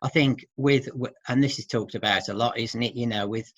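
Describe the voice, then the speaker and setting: A middle-aged man speaking as an expert guest in an informal interview, hesitant and halting, often seeking agreement with tag questions. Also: mid-range voice, no room sound. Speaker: expert guest who works with men. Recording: dull, muffled lo-fi audio.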